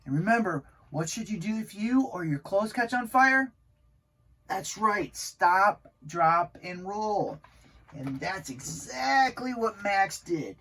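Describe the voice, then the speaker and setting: A man's voice: high voice, animated, slow, a bit bright. A man, a fire chief, hosting a children's story time and explaining things simply with questions and answers.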